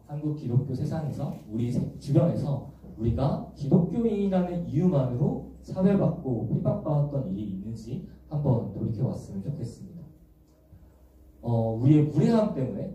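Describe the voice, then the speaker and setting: A young man preaching to a church youth group in a gentle, explanatory way, often asking the congregation questions. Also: fairly high pitch. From Busan, South Korea. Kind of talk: sermon. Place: large echoey church hall. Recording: echoey church hall from far off.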